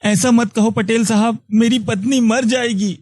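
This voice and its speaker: Man voicing a very high-pitched, pleading poor villager. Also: fairly even intonation, unhurried, darkish tone, no room sound. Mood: desperate pleading. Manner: pleading, deferential. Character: poor desperate villager, humbly begging for mercy. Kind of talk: animated moral-story cartoon dialogue.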